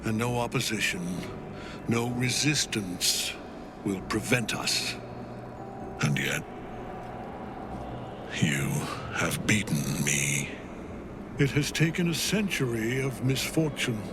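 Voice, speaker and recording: An actor playing a grief-worn, imperious villain general in a video game, speaking in a grandiose, commanding and theatrical way. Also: male voice, fairly low pitch, big pitch swings, slow, pauses often, background noise. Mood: weary, bitter.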